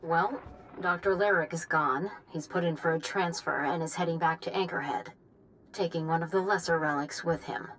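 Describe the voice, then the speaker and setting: A woman's voice: low voice, moderate pace, fairly bright tone, rough recording. A woman playing a dry, impatient scientist dictating a research log in a game, matter-of-fact and a little disdainful. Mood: disdainful, musing.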